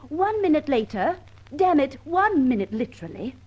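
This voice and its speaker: Radio mystery: bantering, indignant British woman sleuth, highish lively voice, on a dull vintage recording. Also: normal speed. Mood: exasperated, insistent. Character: eager, observant young lady accomplice, easily exasperated. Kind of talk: old-time radio detective drama acting.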